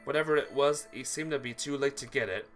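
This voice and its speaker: A man's voice: medium pitch, fairly quick, a bit bright, faint music behind, close to an everyday voice. A man voicing a hot-blooded young male brawler, brooding and combative, in a theatrical, emphatic read-aloud of a visual novel.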